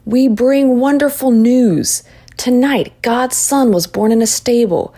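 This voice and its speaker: A woman voicing a solemn, joyful angel, proclaiming slowly and emphatically in a storybook. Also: fairly high pitch, dark tone, voice pushed up.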